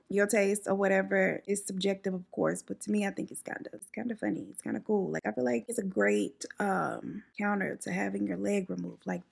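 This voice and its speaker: A young woman talks straight to a close camera at home while she does her makeup, chatting loosely through a story. Her voice has a gravelly fry and a slightly husky edge. She drops sassy asides and says "like" a lot. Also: medium pitch. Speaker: beauty vlogger telling stories. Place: indoors at home, room behind her. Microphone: camera in front at close range.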